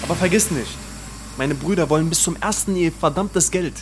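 A man's voice: on the high side, normal speed, noisy background, lightly acted. An actor playing a brash, teasing young male drug dealer in a radio drama, pushy and crude in streetwise slang.

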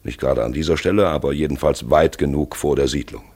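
An actor playing a probing, brooding narrator who guides listeners round an accident scene in a German radio play, confiding and insistent, with a gravelly, husky voice. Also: male voice, rumbling low, fast talker, a little mellow. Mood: brooding, intent. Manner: insistent, confiding, rhetorical asides.

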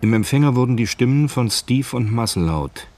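A man's voice: mid-range voice, expressive, moderate pace, a little mellow, slight background noise, close to an everyday voice. An actor playing a matter-of-fact male storyteller, narrating a scripted crime radio drama.

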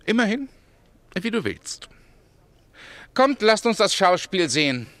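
Bright, swooping, imperious Roman emperor. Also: male voice, high-pitched, very animated, slow, dry recording, exaggerated voice. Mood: mocking, capricious. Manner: grandiose, condescending. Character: urbane, imperious Roman emperor, capricious and mocking. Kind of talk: German radio play acting.

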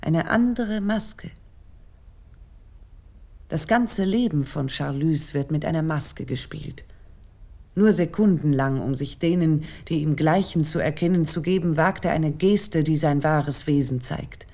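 Female essay narrator, lower register, very soft dark tone, measured and analytical delivery. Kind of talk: scripted literary lecture narration.

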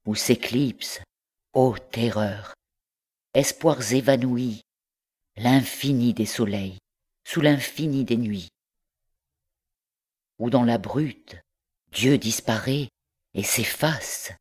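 A woman reciting literary poetry in measured, deliberate phrases broken by pauses. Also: extremely low pitch, darkish tone, breathy edge. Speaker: poetry reader.

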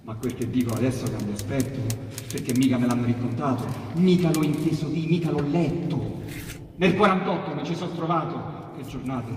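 A man's voice: mid-range voice, darkish tone, slight background noise. Echoey Roman man reciting verse. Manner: dramatic, with pauses and emphatic repetitions. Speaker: actor reciting dialect poetry. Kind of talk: theatrical storytelling recitation of verse. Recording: echoey hall, distant mic, piano accompaniment. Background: piano music.

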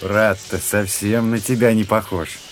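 An actor in a Russian radio drama playing a calm, measured doctor who talks in a low-key, reassuring way. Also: male voice, soft background music, close to an everyday voice. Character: calm, measured male doctor, an old friend.

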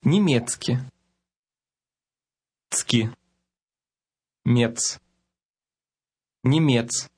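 A man modeling phrases in a language lesson, saying words slowly and breaking them into syllables. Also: mid-range voice, darkish tone, husky. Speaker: native-speaker model in a lesson. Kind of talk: scripted lesson demonstration.

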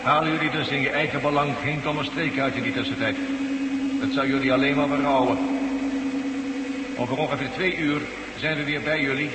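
An actor playing a menacing, condescending commander who gives captives orders in a science fiction radio drama. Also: male voice, medium pitch, steady tone, natural voice. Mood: cold, instructing. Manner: commanding, condescending, deliberate warnings. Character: menacing male commander of new masters, controlling captives from orbit. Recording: heavy noise, echoing hall, distant voice.

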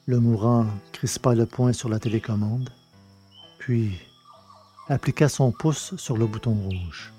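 A man reading a novel aloud in measured, deliberate narration. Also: mid-range voice, dark tone, soft background music. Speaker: audiobook reader. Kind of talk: scripted narration of a science-fiction novel.

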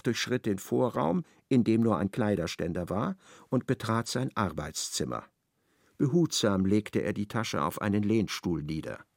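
Male radio-play narrator, measured and ironic, gravelly voice, dry studio sound. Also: mid-range voice, no room sound.

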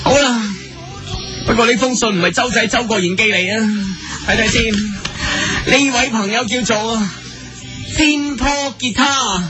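Brash young band leader, high voice, Hong Kong accent, emphatic exclamations, comic radio host, music behind. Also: male voice, unhurried. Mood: enthusiastic. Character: brash, boastful young male band leader hosting youth show. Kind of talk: comic radio sketch presenting.

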